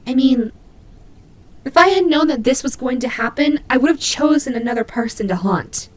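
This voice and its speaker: A woman's voice: high-pitched, expressive, moderate pace, slight background noise. A woman playing a prickly but caring female nightmare demon rabbit in an audio roleplay, speaking to the listener with hesitant, teasing, flustered delivery. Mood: flustered, tsundere.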